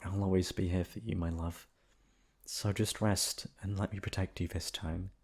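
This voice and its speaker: A man voicing a caring, protective boyfriend in a soft, reassuring ASMR bedtime roleplay. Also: deep voice, fairly even intonation, normal speed, breathy edge. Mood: tender. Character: caring boyfriend soothing sleeping partner, tender and protective.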